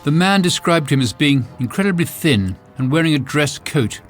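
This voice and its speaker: A man's voice: on the high side, expressive, moderate pace. A man narrating a mystery audiobook in a dramatic, suspenseful first-person style. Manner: suspenseful, theatrical. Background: music score.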